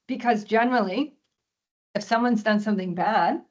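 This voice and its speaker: Woman, mid pitch, losing her voice; webinar presenter on a video call, throat clearing, lots of ums. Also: measured pace, dull audio. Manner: frequent throat clearing, many fillers. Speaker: export presenter.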